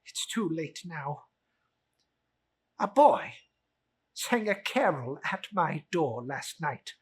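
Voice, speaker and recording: Old miser for a storybook reading, voiced by the narrator: bitter, brooding, rough-voiced, halting with regret. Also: mid-range voice, big pitch swings, heavily acted. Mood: regretful.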